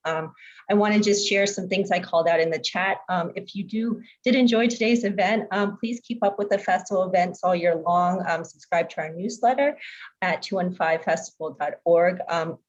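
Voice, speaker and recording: A woman giving informal closing remarks as an event organizer. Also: medium pitch. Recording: slightly dull lo-fi call.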